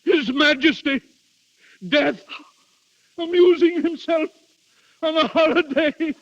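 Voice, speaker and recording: A man's voice: very high-pitched, slow, full character voice. An actor playing a desperate noble duke and father, formal and earnest in 1930s radio drama style. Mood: dread, declaiming. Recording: muffled old 1930s radio recording.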